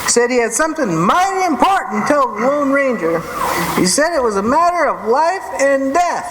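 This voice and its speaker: An actor playing a folksy, excitable ranch hand with a rustic cowboy drawl in a live old time radio western. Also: sits high. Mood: folksy, welcoming. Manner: slow, folksy, colloquial. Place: live theatre stage. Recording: echoey hall, clearly noisy live stage recording.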